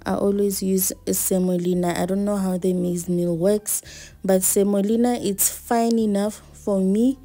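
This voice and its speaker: A woman talks through her baking in a home kitchen, picked up by a camera resting on the counter. She speaks slowly and chattily, thinking aloud. Her voice is soft, mellow and dark. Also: medium pitch, fairly even intonation. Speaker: home cook vlogger. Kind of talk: casual cooking narration.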